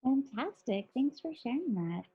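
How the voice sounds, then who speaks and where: Female moderator, husky voice, enthusiastic and affirming, slightly muffled call audio. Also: high voice, very animated, normal speed. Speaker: panel moderator. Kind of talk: online panel discussion.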